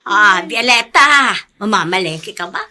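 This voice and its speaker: A nosy, scheming older woman in a radio play, very sharp and swooping in pitch. She insinuates and exclaims excitably. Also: unhurried. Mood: nosy, prodding. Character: meddling, scheming gossipy older neighbor woman. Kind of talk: Filipino radio drama acting.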